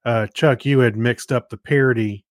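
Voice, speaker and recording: A man co-hosting a podcast in casual chat, speaking in a halting, easygoing way. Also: mid-range voice, fairly even intonation, no echo, studio quality. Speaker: podcast co-host. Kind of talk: casual podcast chat, reading listener feedback.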